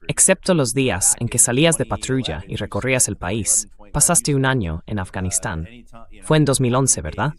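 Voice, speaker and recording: Synthetic male voice with lively intonation. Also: medium pitch. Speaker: translation voice-over. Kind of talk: dubbed political interview, conversational commentary.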